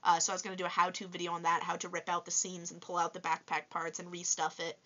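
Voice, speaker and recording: A young woman in her twenties talks through a casual vlog, chatty and upbeat with plenty of ums. Her voice sits low for a woman yet sounds bright and forceful. The recording is dull and lo-fi. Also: monotone, fast talker. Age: 20s. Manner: chatty and upbeat, many fillers. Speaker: toy reviewer and vlogger. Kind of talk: casual vlog answering viewer questions. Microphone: voice-over with face unseen.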